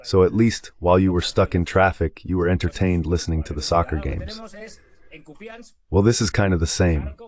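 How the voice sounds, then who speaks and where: A man's voice: low-pitched, steady tone, normal speed, darkish tone. A man giving explanatory, conversational commentary on a war as a guest analyst in a dubbed live talk.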